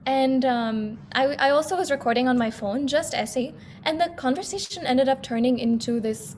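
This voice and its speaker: A woman's voice: sits high, a little fast, darkish tone, slight background noise. A woman in her twenties with an American accent, a guest singer chatting on a talk show and slipping between English and Hindi. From United States. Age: 20s. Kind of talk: casual talk show conversation.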